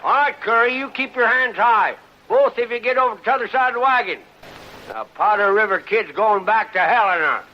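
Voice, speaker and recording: A man acts out a wary, grasping frontiersman in a 1950s radio western. He talks in a rural Western American backwoods drawl, pitched a little high, on a muffled recording with faint noise. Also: brisk, lightly acted. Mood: commanding. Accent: rural American West. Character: folksy, greedy, wary Old West frontier man.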